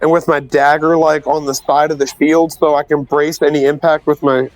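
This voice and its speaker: A man's voice: on the high side, little pitch movement, moderate pace, no room sound, rough recording. A man playing a tabletop game, casually describing his moves and working out his rolls aloud. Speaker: player.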